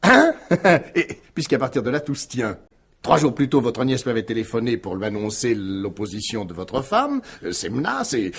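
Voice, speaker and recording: A wily police commissioner in old French radio drama style. His voice swoops dramatically from hushed to emphatic, slightly husky, as he smugly needles his suspect with drawn-out, insinuating phrases. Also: mid-range voice. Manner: theatrical, insinuating, drawn-out phrasing with rhetorical tags. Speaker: actor in a radio mystery play.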